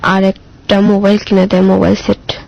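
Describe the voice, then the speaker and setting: A woman's voice: mid-range voice, completely flat delivery, normal speed, vocal fry, a little mellow, lo-fi sound. A girl in her mid-teens with a colloquial Bangladeshi accent, telling her own story simply in an interview with short, plain answers.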